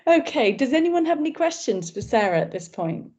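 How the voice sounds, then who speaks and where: A woman's voice: high voice, sing-song, brisk, warm tone. A woman hosting an online event, thanking and introducing speakers courteously. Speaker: event host. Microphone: video call. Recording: lo-fi video call audio.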